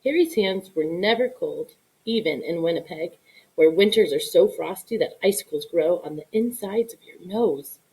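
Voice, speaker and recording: A woman giving an expressive read-aloud narration of a children's story. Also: on the low side, normal speed, low-quality recording. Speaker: storybook reader.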